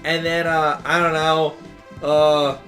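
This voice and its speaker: A man's voice: a little high, completely flat delivery, takes it slowly, fairly bright tone, faint music behind. A young man giving casual, profane gameplay commentary while playing a retro game with a friend, rambling and mocking. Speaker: let's player.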